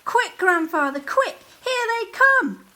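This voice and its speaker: A woman voicing an excited, frantic young boy in a children's story, calling out urgently. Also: extremely high pitch, slow, pitched above the performer's own voice, heavily acted.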